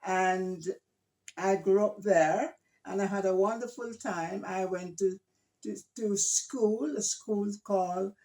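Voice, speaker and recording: An older woman from Jamaica, telling her life story as a guest in a casual family interview, gentle and hesitant, often repeating her words. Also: mid-range voice, steady tone, slow pace.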